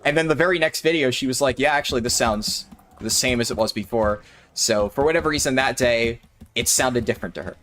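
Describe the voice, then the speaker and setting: A man giving relaxed, rambling livestream commentary while playing a game and answering chat, sounding a little tired. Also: medium pitch, a little fast. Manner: chatty, thinks aloud, answers chat. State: maybe tired or sore throat. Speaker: streamer.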